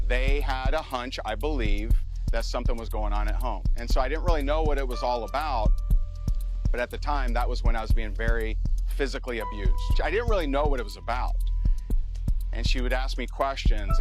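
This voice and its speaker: A man hosting a business show speaks straight to camera in an earnest, personal, motivational way. His voice sits at an ordinary male pitch. A music bed plays clearly beneath him. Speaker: show host and business coach.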